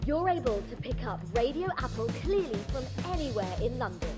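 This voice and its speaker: A young female pirate radio captain from a game. She is high-pitched and very animated, boastful and grand, delivering showy broadcast speeches over loud music. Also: fairly quick. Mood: showy, playful. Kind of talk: theatrical broadcast monologue.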